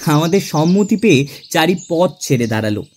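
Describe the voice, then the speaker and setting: A man playing a genial middle-class clerk who narrates his own tale in an expressive, theatrical audio drama style. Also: a little high, a little fast, a little hiss or hum, lightly acted. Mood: warm storytelling. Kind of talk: dramatised first-person audio story narration. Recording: music under the voice.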